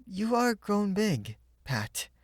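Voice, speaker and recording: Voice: medium pitch, big pitch swings, slow pace, dry recording, clean studio sound, pitched above the performer's own voice. A reader voicing a small eager girl from Chinatown who speaks in broken English. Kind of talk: storybook read-aloud.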